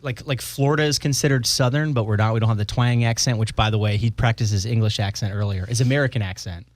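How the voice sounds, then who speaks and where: A man hosting a podcast, talking in an enthusiastic, jokey way with a lively sing-song intro voice. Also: medium pitch, quick pace, no echo. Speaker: podcast host. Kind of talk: casual interview chat.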